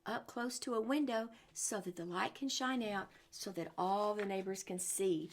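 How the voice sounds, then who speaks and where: A woman's voice: medium pitch, moderate pace, slight background noise. A woman reading a picture book aloud to children, adding exclamations and chatty questions to her young listeners as she goes. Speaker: storyteller and religious teacher for children.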